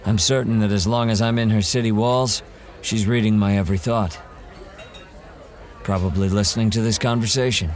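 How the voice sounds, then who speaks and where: An actor playing a wry, self-assured monster hunter in an audio drama, calm and measured. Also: male voice, lowish voice, a faint crowd, close to an everyday voice.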